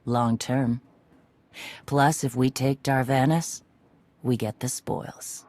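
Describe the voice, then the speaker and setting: An actor playing a tough, no-nonsense warrior clan leader in a video game, giving blunt orders. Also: male voice, mid-range voice, fairly even intonation, takes it slowly, darkish tone, no room sound, lightly acted. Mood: commanding. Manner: blunt, commanding.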